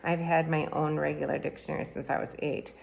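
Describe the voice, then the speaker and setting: A woman reading a children's book aloud in a plain, even storytelling manner. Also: low-pitched, fairly quick, vocal fry. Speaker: reader.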